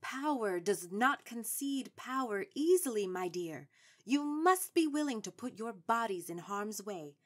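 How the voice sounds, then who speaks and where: A woman's voice: a little high, lively intonation, a little slow. Authoritative suffragette elder stateswoman. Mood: stern. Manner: formal, declarative, authoritative. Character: seasoned, proud, shrewd woman suffrage activist of the 1910s. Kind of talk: stage-trained radio drama acting.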